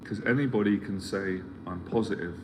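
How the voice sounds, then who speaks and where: A man's voice: fairly low pitch, fairly quick. A middle-aged man giving a motivational talk as a life mentor, earnest and rambling, often repeating himself as he searches for words. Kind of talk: motivational live stream talk. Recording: clear background ambience noise.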